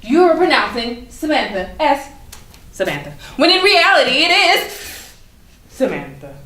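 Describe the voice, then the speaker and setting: In an echoey room, a woman plays an ancient enchanted bird, haughty and mischievous. The high voice swings widely and takes its time, with grand theatrical phrasing, as in a staged play. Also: very animated, a little slow, bright, forceful tone, heavily acted. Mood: patient, teasing. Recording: echoey room, noticeable background noise.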